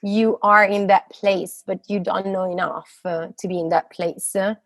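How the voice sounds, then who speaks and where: A woman's voice: medium pitch, steady tone, normal speed, a bit bright, no room sound. Italian woman in her 30s, talks casually with lots of uhs and likes, dry close sound. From Italy. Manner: hesitant, many fillers and restarts. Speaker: guest, postdoctoral physicist. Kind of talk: casual interview answer.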